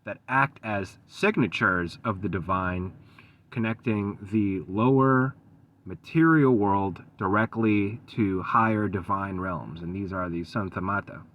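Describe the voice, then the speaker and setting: A man giving an unscripted solo talk for his video channel, measured and thoughtful, with frequent pauses, ums and restarts. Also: on the low side, lo-fi sound. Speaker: esoteric channel host.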